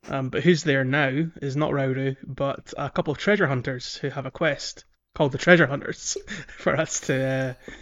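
A man's voice: medium pitch, fairly even intonation, normal speed, hardly any pauses, fairly bright tone. Man podcasting with dull, lo-fi sound. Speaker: podcast host. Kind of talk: casual game playthrough podcast chat.

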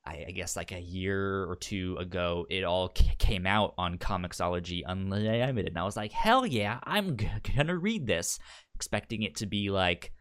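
A man hosting a casual book discussion show, talking informally and haltingly with a stutter that repeats sounds and syllables. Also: low voice, fairly bright tone, clear, resonant tone. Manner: halting, informal, thinking aloud. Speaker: podcast host.

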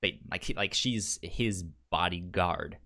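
A man's voice: sits low, a little slow, vocal fry, pushed and bright. A man co-hosting a podcast in casual chat, repeating words as he forms thoughts and acting out quotes. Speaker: podcast co-host. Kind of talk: casual chat about a TV show.

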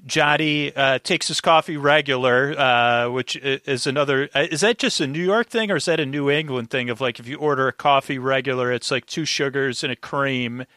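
A man's voice: medium pitch, normal speed, hardly any pauses, sharp tone, no room sound, studio quality. A man co-hosting a podcast, casually discussing and reviewing a drama. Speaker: podcast co-host. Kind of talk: casual chat, reviewing a radio show.

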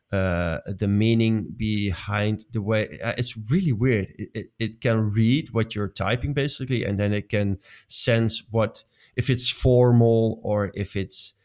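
A man's voice: lowish voice, clear, resonant tone. A man speaking English as a second language in a casual chat, hesitant, with many fillers and restarts. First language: not English.

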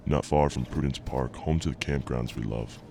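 An actor playing an obsessive visionary inventor and devoted husband in an audio drama, with a grave, measured delivery and a gravelly voice over a noisy recording. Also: male voice, extremely low pitch, dark tone. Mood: proud. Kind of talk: audio drama acting, partly recorded log narration. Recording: noisy amateur podcast recording.

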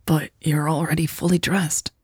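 A woman playing a hurt, uneasy female partner in an audiobook, with a subdued, slightly croaky voice and plaintive manner. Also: low voice, little pitch movement, moderate pace, a little mellow, dry recording, studio quality. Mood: confused, alarmed. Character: Louise, sleepy, hurt, needy woman partner. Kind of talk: audiobook character dialogue.

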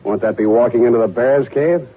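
A man's voice: medium pitch, a little fast, slight background noise, lightly acted. A man with an American accent playing a wry, hard-boiled private detective in 1940s radio drama, with a breezy, wisecracking manner. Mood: sardonic. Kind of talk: 1940s radio drama acting, first-person narration. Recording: old muffled radio recording with music.

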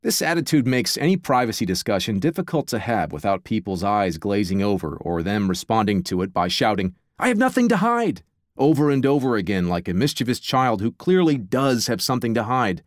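Guy reading an audiobook, theatrical and animated, dead dry studio sound. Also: on the low side, no room sound. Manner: measured, theatrical reading with asides. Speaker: audiobook narrator. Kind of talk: audiobook narration.

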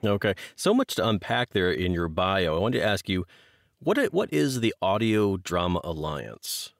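A man in his forties hosting a podcast, chatting casually in an animated, jokey manner. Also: deep voice, moderate pace, gravelly, no echo, studio quality. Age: 40s. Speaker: podcast host. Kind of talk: casual chat.

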